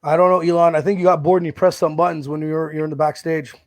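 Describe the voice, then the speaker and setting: A man hosting a casual podcast over a video call, excitable, laughing often and swearing casually. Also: fairly high pitch, quick pace. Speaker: podcast host. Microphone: home video call mic.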